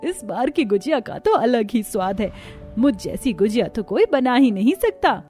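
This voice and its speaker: A woman acting an expressive, chatty young village daughter-in-law in a cartoon story. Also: a little high, normal speed, soft background music. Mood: giddy, tipsy.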